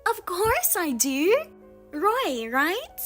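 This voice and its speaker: A woman voicing a spiteful younger sister who steals husbands, with a high, sing-song, mocking manner. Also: sing-song, fairly bright tone, soft background music, exaggerated voice. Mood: taunting. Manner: mocking, self-satisfied, drawn-out teasing. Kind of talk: narrated drama voice-over.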